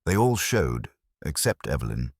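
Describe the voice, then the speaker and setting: A man's voice: extremely low pitch, sing-song, normal speed, creaky, no room sound, studio quality, full character voice. A man voicing a streetwise detective's assistant in an audiobook, wry, clipped and flippant. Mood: defensive, wry. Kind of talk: audiobook character acting.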